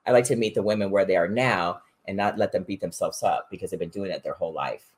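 Chatty podcast host, agreeable and lively. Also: sits low, breathy edge. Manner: chatty, agreeing, quick laughs. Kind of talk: casual podcast conversation.

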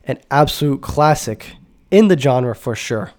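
Guy with a cold talking music on his podcast, nasal and stuffy, gets excited and rambles, average pitch. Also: a little slow. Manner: enthusiastic, rambling, self-correcting. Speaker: podcast host. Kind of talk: casual music podcast talk.

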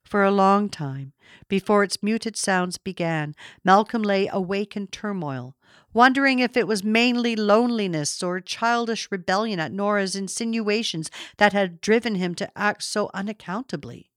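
A woman reads scripted literary fiction in a measured, deliberate way. Her phrasing is expressive, with a mid-range pitch. The sound is dry, with very little room around the voice. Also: dry recording. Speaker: audiobook reader.

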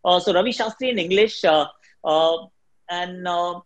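A man from Delhi with an Indian accent, a cricket commentator whose first language is Hindi, answering interview questions in a shrill, quick, energetic way. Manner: fast, energetic talker.

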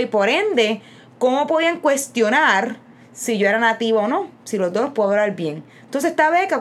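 Puerto rican woman, young, high voice, chatty podcast co-host, explains with examples. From Puerto Rico. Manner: lively, explains with examples and asides. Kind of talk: casual explanatory podcast chat.

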